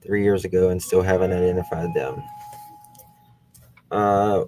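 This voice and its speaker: A man's voice: sits low, fairly even intonation, unhurried, mellow, a little hiss or hum, rough recording. A man hosting a true crime channel, thinking aloud in casual talk as he looks things up during a case discussion. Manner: thinking aloud while searching online.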